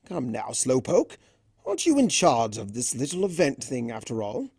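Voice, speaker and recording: A performer voicing a male chaos creature, a smug and theatrical trickster who teases in drawn-out phrases, for a story reading. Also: mid-range voice, huge pitch swings, a little mellow, slightly rough, dry recording, studio quality, exaggerated voice. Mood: playful, smug. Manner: drawn-out, theatrical, teasing delivery.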